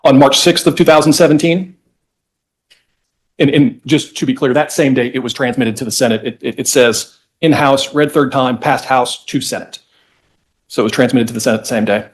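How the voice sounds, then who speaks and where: A man speaking as a lawyer in court, methodically walking a judge through legal history in an explanatory, slightly halting way. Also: mid-range voice.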